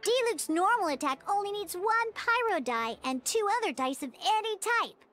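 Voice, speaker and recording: A woman playing a small, bubbly, childlike girl companion in a video game, with a squeaky voice and a perky, encouraging way of explaining. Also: animated, normal speed, a bit bright, faint music behind. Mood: cheerful, instructive. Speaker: game tutorial guide. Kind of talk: animated video game character tutorial dialogue.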